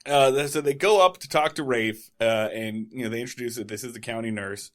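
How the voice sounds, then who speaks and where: A man's voice: medium pitch, a little fast, fairly bright tone. A man co-hosting a podcast, rambling loosely and laughing often. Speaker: podcast co-host. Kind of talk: casual podcast chat.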